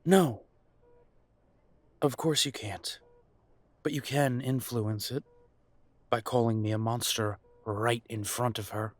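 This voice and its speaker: A man's voice: mid-range voice, steady tone, slow, long pauses, lightly acted. A man playing a wounded young werewolf son in an audio drama, confrontational and sardonic with his parents. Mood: angry, accusing. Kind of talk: audio roleplay drama acting.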